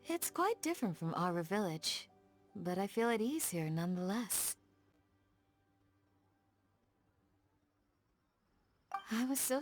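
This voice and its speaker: A woman voicing a gentle, dutiful young desert village guardian in a video game, speaking in a soft, measured, courteous way. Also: mid-range voice. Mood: warm, reflective. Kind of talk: scripted game dialogue, storytelling.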